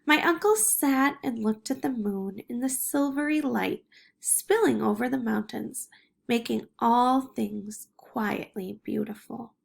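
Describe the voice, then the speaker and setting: A woman reading a children's picture book aloud in an expressive way. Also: a little high, takes it slowly, creaky. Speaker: storybook reader.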